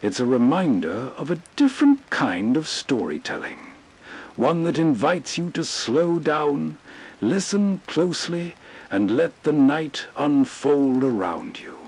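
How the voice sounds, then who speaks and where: A man hosting an old-time radio channel, giving slow, soothing scripted narration. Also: fairly high pitch, big pitch swings, darkish tone, slightly rough. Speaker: host.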